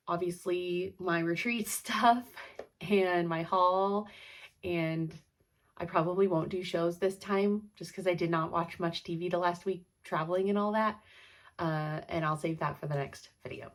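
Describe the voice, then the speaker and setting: A stuffed-up woman in casual, rambling chat about her stitching projects, chatty and apologetic. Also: lowish voice. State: congested, stuffed up. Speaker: cross-stitch vlogger.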